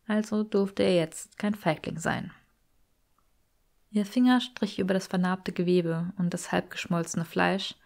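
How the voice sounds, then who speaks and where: A woman's voice: fairly low pitch, darkish tone. A woman reading fiction aloud as an audiobook narrator. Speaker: audiobook reader.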